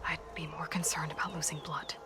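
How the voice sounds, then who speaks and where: Actress as low-voiced, pragmatic young warrior heroine. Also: female voice, flat delivery, brisk, natural voice. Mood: wry concern. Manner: frank, reasoning, understated. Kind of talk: video game cutscene acting. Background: music.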